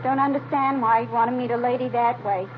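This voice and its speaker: A woman's voice: a little high, steady tone, brisk, close to an everyday voice. An actress playing a plain-spoken, chatty older woman neighbor, wistful and grumbling, in a vintage radio crime drama. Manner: chatty, matter-of-fact, complaining. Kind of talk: 1940s-50s radio crime drama acting. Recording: noisy, dull vintage radio recording.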